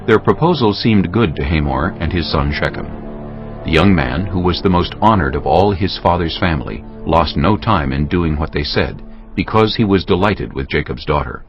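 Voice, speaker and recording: Casting: male narrator for dramatized scripture, very low voice, expressive range, solemn and measured. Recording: dull sound, clear music bed.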